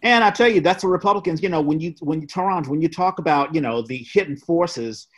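A man co-hosting a casual discussion of politics, giving his opinions in a halting way with repeated phrases. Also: a little high. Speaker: podcast co-host.

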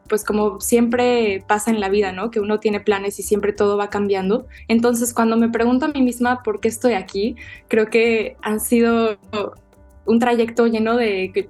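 A young adult woman poet answering interview questions in a thoughtful, measured way, often restarting small phrases as she thinks. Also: medium pitch, darkish tone. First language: Spanish.

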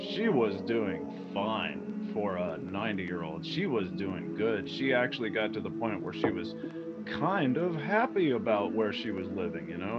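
A man talking emphatically and indignantly in casual conversation, repeating himself for force. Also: lowish voice. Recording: muffled recording with clear music behind.

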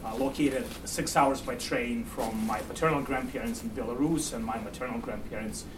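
A man in his forties with a Russian-Ukrainian accent, giving a university lecture in a halting way, full of fillers and repeats. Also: medium pitch, fairly even intonation. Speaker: guest lecturer. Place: lecture room. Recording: distant mic, room noise.

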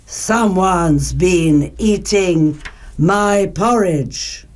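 Storybook papa bear, put on by a woman: big, gruff, growly, slow and emphatic. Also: on the low side, a little mellow. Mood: outraged. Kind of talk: children's storybook character voice.

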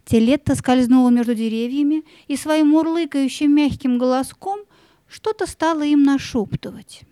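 A woman reading expressive scripted narration for a fairy-tale audio play, with music behind her. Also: sits high, normal speed, no echo, clean studio sound.

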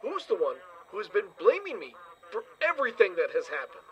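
Excitable, higher-voiced crewman. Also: a little fast, lo-fi sound, heavily acted. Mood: indignant. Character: indignant, hot-headed male crewman. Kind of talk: audio drama acting.

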